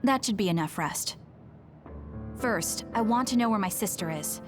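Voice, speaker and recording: A woman's voice: mid-range voice, lively intonation, moderate pace, music in the background, close to an everyday voice. An actress playing a stoic, determined young female soldier, reserved and matter-of-fact, in an anime game dub. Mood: calm, resolute.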